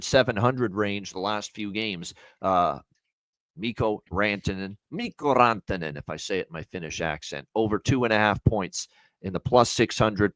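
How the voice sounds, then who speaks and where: A man's voice: lowish voice, dry recording, poor audio. A man hosting a hockey betting show, moving from upbeat sponsor reads to casual picks with frequent fillers. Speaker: host. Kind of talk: sports betting show chat.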